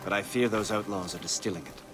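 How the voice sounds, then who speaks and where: An actor playing a grave military mentor in a video game, instructing formally and with measured care. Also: male voice, fairly low pitch, steady tone, music in the background, close to an everyday voice. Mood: grave, instructive.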